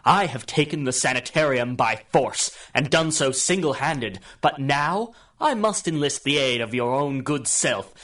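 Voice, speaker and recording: Theatrical, scheming radio supervillain. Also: male voice, mid-range voice, animated, measured pace, hardly any pauses, a bit bright. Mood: grandiose, scheming. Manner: theatrical, ingratiating, florid. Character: grandiose, scheming male supervillain with electrical powers. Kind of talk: radio drama acting in 1930s pulp serial manner.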